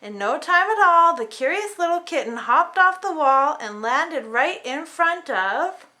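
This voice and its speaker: A woman narrates a children's storybook with theatrical flair. Her voice is high and bright, with big swings in pitch. She tells it expressively, as if to very young listeners. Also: unhurried. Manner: animated, dramatic storytelling for small children. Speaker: storybook reader.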